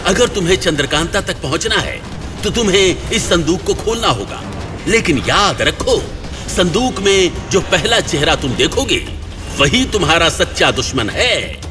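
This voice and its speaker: A man playing a mysterious, stern guide in an audio drama, speaking ominously and deliberately as he sets tests. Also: high-pitched, very animated, over music. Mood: solemn testing. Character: mysterious stern male guide who tests heroes with riddles.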